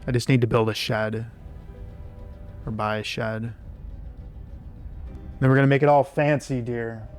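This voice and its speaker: A male streamer with a raspy voice, talking through a game in a relaxed, rambling way and often restarting his sentences. his voice sits at a middling pitch. faint game music plays underneath. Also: measured pace, long pauses. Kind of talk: casual gameplay commentary.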